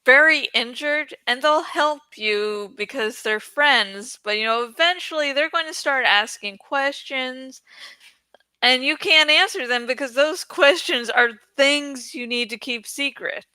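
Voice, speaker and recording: A woman's voice: high-pitched, unhurried, sharp tone, no room sound. A woman host chatting in a rambling, informal, giggly way about a book, swearing freely. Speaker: host of a book read-along channel.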